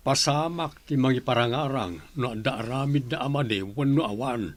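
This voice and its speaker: A man playing a stern but caring, gravelly-voiced father in an audio drama. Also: mid-range voice, moderate pace, lightly acted. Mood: stern, cautioning.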